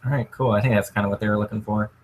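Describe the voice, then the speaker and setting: A man moderating a casual livestream Q&A, relaxed and thinking aloud as he passes on viewers' questions. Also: on the low side, racing, a little hiss or hum.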